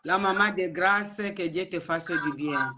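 Woman guest on a video call, fervent, with loud crowd noise behind her. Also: lowish voice, a little slow.

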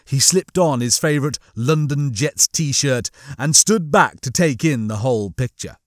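A man reading comic fiction as a scripted audiobook, with a theatrical, expressive storytelling manner. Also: medium pitch, a little slow, no echo, studio quality. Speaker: audiobook narrator.

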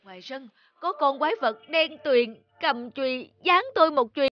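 A woman's voice: sits high, normal speed, dull audio, lightly acted. A woman voicing a frightened male robber in a cartoon fairy tale. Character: frightened robber man telling of a haunted house.

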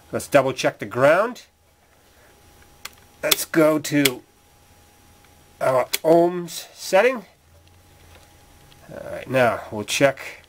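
A man's voice: fairly high pitch, fairly bright tone. A man giving casual hands-on how-to commentary in a folksy, plainspoken way, as a repair tutorial presenter. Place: garage workshop, at engine bay and workbench. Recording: clearly noisy workshop recording with clicks. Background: noise, tool clicks.

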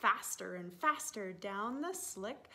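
A woman in her thirties, a teacher reading a picture book aloud to young children in an expressive, animated manner with drawn-out words. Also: medium pitch, background noise. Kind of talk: expressive children's story time read-aloud. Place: living room, indoors on a sofa.

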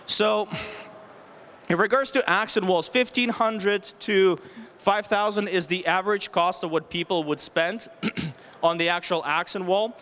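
A man's voice: high voice, measured pace, a bit bright, a little hiss or hum. A man in his thirties giving a practical, explanatory public presentation into a handheld microphone, often posing questions and listing options. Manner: explanatory, poses rhetorical questions and lists options. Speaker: renovation contractor giving a presentation. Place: stage at an indoor home show. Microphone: handheld microphone held near the chin.

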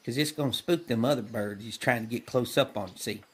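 Husky old-west woodsman, done by a man, crusty country drawl, encouraging, comedy western audio play. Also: medium pitch, a little fast, lightly acted. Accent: rural American Western. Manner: folksy, drawling backwoods speech.

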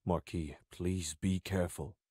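A cautious soldier on guard, acted by a man, speaks in a very low voice. He is deferential, a visual novel character, recorded dry. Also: fairly even intonation, measured pace, darkish tone, slightly rough, no echo. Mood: wary.

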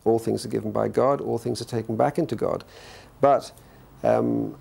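A man's voice: fairly low pitch, huge pitch swings, normal speed, creaky, mellow, breathy edge. An older man, a professor, answering an interview question thoughtfully in a book-lined study, searching for his words as he goes. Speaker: professor interviewed about a cathedral. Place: indoor study lined with bookshelves.

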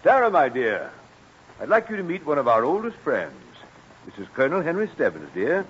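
Casting: genial, proud dad in vintage radio play, hugely varied intonation, dull lo-fi sound. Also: male voice, fairly high pitch, sing-song, brisk, breathy edge, exaggerated voice. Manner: courteous, genial. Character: genial, proud father of a teenage girl. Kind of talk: old-time American radio drama acting. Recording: muffled, lo-fi old radio broadcast.

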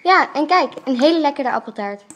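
A woman's voice: high voice, animated, brisk, lo-fi sound, background noise. A woman playing a determined, earnest young princess in an amateur radio play. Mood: friendly. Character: young princess, determined and devoted to her family.

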